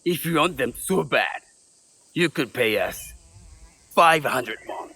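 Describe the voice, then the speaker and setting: An actor playing a cocky small-time forger in a video game, wheedling and dismissive. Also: male voice, mid-range voice, lively intonation, takes it slowly, pushed and bright, breathy edge, heavily acted. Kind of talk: video game cutscene acting.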